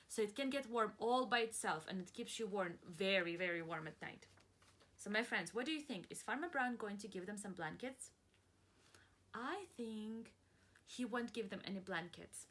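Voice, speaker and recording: A woman with a bright, fairly high voice reads a picture book to small children as their teacher. She takes her time, swinging widely in pitch for drama, and pauses to put questions to her young listeners with plenty of encouragement. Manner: slow, deliberate and dramatic, stretching words, pausing to ask questions; encouraging, praising and addressing listeners as friends. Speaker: preschool teacher reading aloud.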